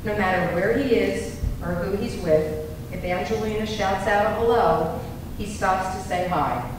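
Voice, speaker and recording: A woman school board member reading a prepared award citation and statement aloud into a handheld mic at a public board meeting. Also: medium pitch. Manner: measured, formal reading. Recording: echoey hall, distant mic, noisy.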